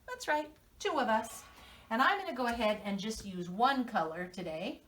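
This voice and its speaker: A woman's voice: fairly high pitch, expressive, moderate pace, fairly bright tone, recorded from a distance. A woman with an American accent teaching young children to read, telling a story and prompting them with questions. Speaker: preschool teacher.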